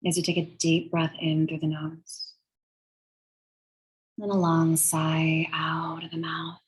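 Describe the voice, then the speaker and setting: A woman leading a guided meditation in a soothing, measured, breathy way, leaving long pauses between phrases. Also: sits low, completely flat delivery, low-quality recording. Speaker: guided meditation and yoga teacher.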